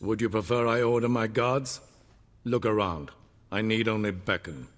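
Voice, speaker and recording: Man playing a deep, imperious emperor. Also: a bit bright, big-room sound, close to an everyday voice. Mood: cold menace. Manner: measured, haughty, commanding. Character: imperious, cold, impatient middle-aged male emperor. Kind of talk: video game voice acting.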